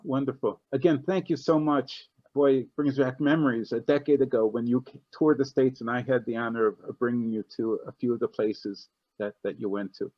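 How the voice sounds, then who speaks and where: A man's voice: mid-range voice, fairly even intonation, fairly quick, hardly any pauses, no echo. A man hosting an online event, speaking casually with many ums and restarts. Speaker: host of a video-call event. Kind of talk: casual closing remarks and thanks. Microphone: video call. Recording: dull, lo-fi video-call audio.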